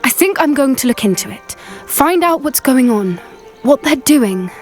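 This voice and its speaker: Brief: game character, earnest young witch, higher pitch, thoughtful delivery. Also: lively intonation, normal speed. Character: young woman caring for a hippogriff. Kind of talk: video game voice acting. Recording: game audio with faint music.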